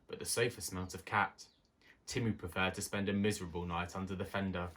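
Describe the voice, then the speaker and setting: A man reading a children's tale aloud as an expressive storybook narrator. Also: low voice, brisk, a little hiss or hum. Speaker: story reader.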